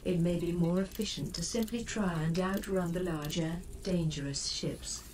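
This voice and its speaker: Woman doing a calm formal spaceship computer lady, lowish voice, precise wording, bit distant with some noise. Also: close to an everyday voice. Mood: composed, advisory. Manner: formal, measured, precise phrasing. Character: composed female ship computer AI assistant, formal and advisory. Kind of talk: scripted game voice-assistant lines. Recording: slightly distant, noisy game-stream capture.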